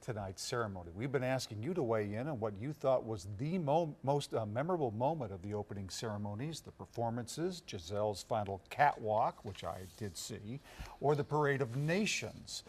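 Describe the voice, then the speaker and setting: A man's voice: mid-range voice, animated, normal speed, husky. A middle-aged American man presenting the news as a TV anchor in a studio.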